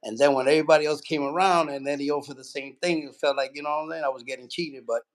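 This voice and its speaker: A man from Puerto Rico, a boxing trainer, telling his story in a relaxed, rambling way with lots of fillers. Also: on the high side, fast. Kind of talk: casual interview.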